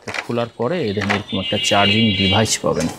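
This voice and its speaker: Fast-talking Bangladeshi man with a low voice. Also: creaky, heavy background noise. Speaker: shop seller presenting products. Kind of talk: unscripted product demonstration.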